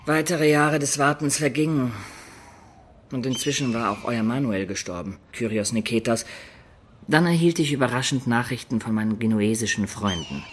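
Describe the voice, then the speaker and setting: A mid-pitched adventurer telling his tale played by a man over faint music. He narrates a radio drama in a measured, reflective and persuasive way. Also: natural voice. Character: male adventurer recounting his life, wistful, persuasive storyteller. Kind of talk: dramatic first-person narration in German radio play.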